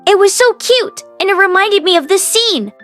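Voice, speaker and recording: Высокий женский голос, читает книгу. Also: female voice, very high voice, lively intonation, normal speed, a bit bright, faint music behind. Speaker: audiobook narrator and read-along host. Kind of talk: expressive story narration.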